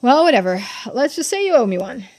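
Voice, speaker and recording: A woman's voice: high-pitched, very animated, fairly quick, darkish tone, pitched above the performer's own voice, poor audio. A woman voicing a shrewd, laid-back young man in video game dialogue, speaking matter-of-factly and casually. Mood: casual, mildly put out. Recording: game music behind the voice.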